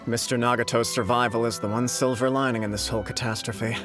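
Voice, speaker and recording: A man's voice: medium pitch, fairly even intonation, fast talker, lightly acted. A man voicing a dignified, diplomatic samurai official in an anime-style video game, with a formal, stately and polite delivery. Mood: gracious. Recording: game music playing behind.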